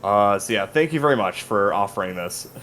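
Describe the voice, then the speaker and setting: A man's voice: lowish voice, lively intonation, fairly quick, a bit bright, a little hiss or hum. A man guesting on a podcast in casual chat, polite and joking as he thanks the host.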